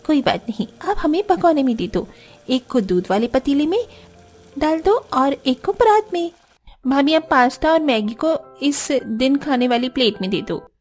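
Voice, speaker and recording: A woman's voice: high voice, expressive, normal speed, darkish tone, soft background music. A woman playing a girlish, carefree young sister-in-law in a cartoon, coaxing and demanding with exclamations. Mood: breezy. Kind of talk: Hindi cartoon story dialogue.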